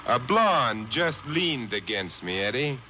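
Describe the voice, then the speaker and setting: An actor playing an urbane, wry gentleman detective in a 1950s radio drama, dry and teasing. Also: mid-range voice, very animated, slow, heavily acted. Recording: dull, hissy old radio tape.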